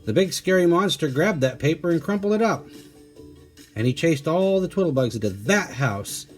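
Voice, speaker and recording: A man voicing a pompous, excitable male detective from a children's storybook, grandly sure of himself and full of exclamations. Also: a little high, moderate pace, faint music behind, voice pushed up, lightly acted. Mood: indignant boasting. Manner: grandiose, exclamatory, self-assured.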